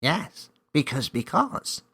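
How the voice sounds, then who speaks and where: Guy doing a tiny storybook elf, cheeky n bossy, pitched up, kinda raspy, super theatrical. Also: sing-song, takes it slowly, pushed and bright, heavily acted. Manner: teasing, exclamatory, theatrical.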